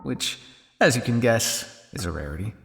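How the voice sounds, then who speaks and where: A man playing a cynical, suave vampire scientist in an ASMR roleplay, teasing and condescending with theatrical, drawling pauses. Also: fairly low pitch, expressive, creaky, a bit bright, in a large hall, soft background music. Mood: probing, wry.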